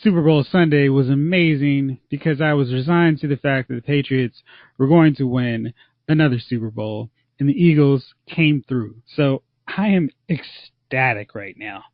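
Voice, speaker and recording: A man's voice: medium pitch, no room sound. A man hosting a casual movie podcast, upbeat and chatty as he leads the talk. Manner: upbeat, chatty, quick asides. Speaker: podcast host. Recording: muffled lo-fi dry recording.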